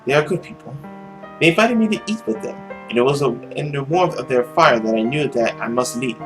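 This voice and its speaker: A man's voice: mid-range voice, brisk, fairly bright tone, faint music behind, lightly acted. A man playing a world-weary immortal demon who poses as a nobleman, musing theatrically through his journal in a visual novel. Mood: wistful musing. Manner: brooding, musing, theatrical diary reading.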